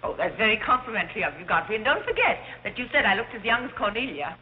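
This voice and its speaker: Flighty middle-aged socialite, played by a woman, 1930s screen comedy, rattling along fast and breathless, dull old film sound. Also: fairly high pitch, animated, a little hiss or hum, close to an everyday voice. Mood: naive puzzlement. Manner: breathless, dithering, dramatic. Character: flighty, fluttering, scatterbrained society mother.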